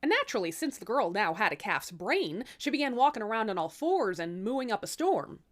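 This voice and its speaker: Animated, sardonic woman storyteller. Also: on the high side, brisk. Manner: sweary, sardonic, conversational. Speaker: storyteller, series host. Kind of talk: irreverent casual fairy-tale narration with asides.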